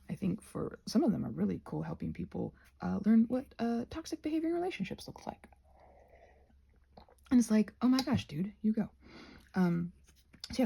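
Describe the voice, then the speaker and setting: A woman rambling casually as she crafts for her ASMR channel, halting and self-deprecating, with a husky voice that often drops toward a whisper. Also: fairly low pitch, mellow. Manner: halting, meandering, self-deprecating, searching for words.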